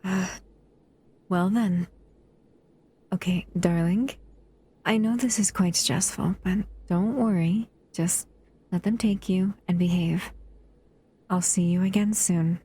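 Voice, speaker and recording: A woman voicing a possessive, doting female monster in an intimate audio roleplay, soft, cooing and teasing. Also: fairly low pitch, slow, long pauses, breathy edge. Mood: reassuring.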